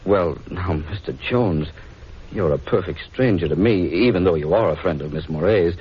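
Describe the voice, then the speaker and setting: A man acting a well-off, dryly defiant young gentleman in a 1940s radio drama, polite and hesitant. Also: deep voice, normal speed, husky. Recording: muffled, noisy 1940s radio recording.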